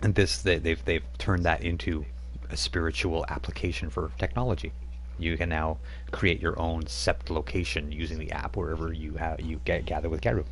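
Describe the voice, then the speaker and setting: A deep-voiced man narrating as game master, wandering through his descriptions with loose, offhand asides. A faint hiss runs under the voice. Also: flat delivery, normal speed. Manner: loose, rambling scene-setting with casual asides.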